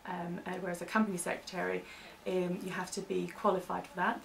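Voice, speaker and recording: A woman's voice: lowish voice, creaky. A British woman giving informal, loosely hedged explanations in a training interview, as the interviewee. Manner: hedging, informal explanations with "kind of". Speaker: interviewee explaining legal terms for staff training. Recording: distant mic, faint background noise.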